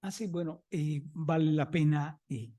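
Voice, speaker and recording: A man's voice: a little high, a little slow, mellow. A man with a Mexican Spanish accent giving a conference talk as a museum director, chatty and anecdotal, often checking in with listeners.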